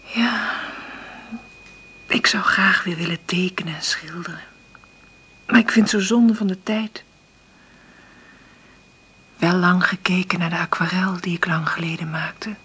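A woman playing a middle-aged, hard-up mother in a naturalistic radio drama, understated and hesitant, with a husky, breathy voice. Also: lowish voice, steady tone, unhurried, pauses often, sharp tone, exaggerated voice. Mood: wistful, hushed. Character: hard-up, dreamy single mother, gentle but stubbornly hopeful.

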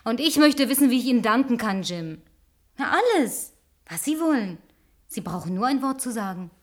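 A woman's voice: on the high side, big pitch swings, normal speed, no room sound, clean studio sound. An actress in a German radio play, playing a flirtatious, confident woman with a playful, teasing manner. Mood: teasing, grateful. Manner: teasing, coquettish. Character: flirtatious, confident, playful woman driving instructor in post-apocalyptic city.

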